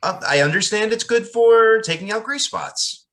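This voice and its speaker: A man's voice: high-pitched, animated, moderate pace, a bit bright. A man playing an earnest, naive young reverend in an amateur radio drama, awkward and questioning. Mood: nervous.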